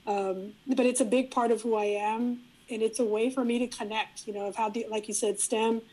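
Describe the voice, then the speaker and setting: A middle-aged woman whose first language is Spanish, talking as a guest in a relaxed, reflective interview, often restarting her sentences. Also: on the high side, normal speed, rough recording. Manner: reflective, frequent restarts and fillers. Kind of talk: casual interview conversation.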